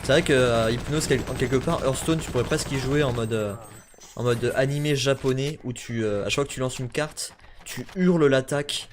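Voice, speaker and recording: A man casually chatting and joking while streaming a game, his talk breaking into sudden shouts. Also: mid-range voice, a little fast. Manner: chatty, jokey, shouts in bursts. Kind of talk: casual gaming chat. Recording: noisy game audio with gunfire.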